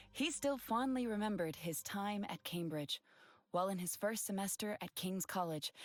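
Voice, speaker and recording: Woman narrating a story over soft music. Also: medium pitch.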